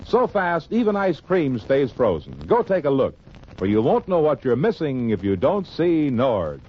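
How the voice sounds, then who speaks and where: A man's voice: medium pitch, big pitch swings, measured pace, slight background noise. A man announcing a 1950s radio commercial with an emphatic salesman delivery. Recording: dull, muffled old radio recording.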